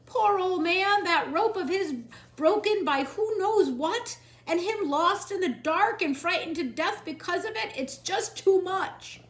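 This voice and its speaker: A woman voicing an overwrought, weepy female cook in a storybook, her lines wailing and loud. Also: very high-pitched, a little slow, hardly any pauses, echoey room, pitched above the performer's own voice. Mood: distraught. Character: weepy, distraught middle-aged female castle cook.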